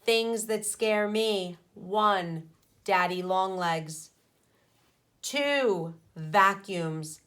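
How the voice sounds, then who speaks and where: A woman teacher reading a picture book aloud to children, speaking deliberately with pauses between phrases and putting questions to her pupils. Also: medium pitch, a bit bright. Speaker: primary school teacher.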